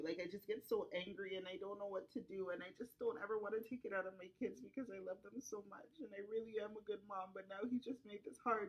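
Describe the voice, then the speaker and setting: A woman's voice: mid-range voice, highs cut off, lightly acted. A woman playing a distraught mother in crisis who rambles emotionally in breathless run-on sentences during a counseling role-play. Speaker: role-played counseling client.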